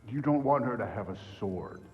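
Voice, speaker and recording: An actor playing a huge, weary thunder god and remorseful father who is gruff and self-deprecating. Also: medium pitch, animated, normal speed, big-room sound, narrow, tinny audio, close to an everyday voice.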